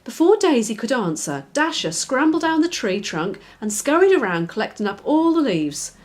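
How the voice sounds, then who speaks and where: A woman narrating a children's storybook from a script, expressive and clearly phrased for young listeners. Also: fairly high pitch, normal speed. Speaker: children's author, reader.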